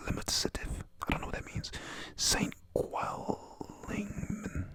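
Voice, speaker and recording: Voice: slow, fairly bright tone. A speaker whispering a casual recap of a comic in the style of ASMR, hesitant and stumbling over names.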